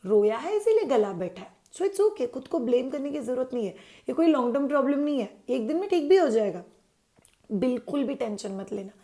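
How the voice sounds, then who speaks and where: Friendly gravel-voiced woman explaining baby care. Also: high-pitched, expressive, a little fast, mellow. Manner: chatty, mixes English words into Hindi, answers her own questions. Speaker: parenting channel host. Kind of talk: explainer talk to parents.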